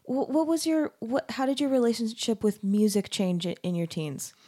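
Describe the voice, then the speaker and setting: A woman hosting a casual interview, a musician and teacher, asking questions with many quick encouraging affirmations. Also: on the high side, expressive, warm tone. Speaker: podcast host and music teacher.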